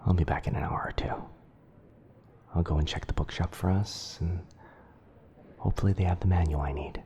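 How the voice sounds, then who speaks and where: A man playing a gentle young wizard boyfriend in an ASMR roleplay, speaking softly and often near a whisper with a tender, hesitant manner. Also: low voice, fairly quick. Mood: wistful. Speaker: roleplay audio performer.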